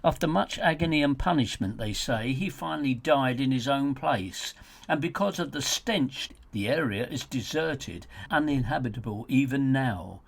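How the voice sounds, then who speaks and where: A man reading his own audiobook in a theatrical, sardonic, emphatic storytelling manner, with a slightly husky voice. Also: medium pitch, expressive, normal speed. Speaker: author narrating own audiobook. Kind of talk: scripted audiobook narration.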